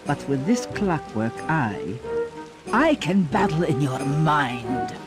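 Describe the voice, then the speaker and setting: An actress voicing a haughty, cunning villainess in a video game, speaking in a pompous, contemptuous and theatrical way. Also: female voice, sits low, sing-song, slow, over loud music, full character voice. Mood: smug. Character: haughty, cunning aristocratic villainess, a sinister intellectual creature.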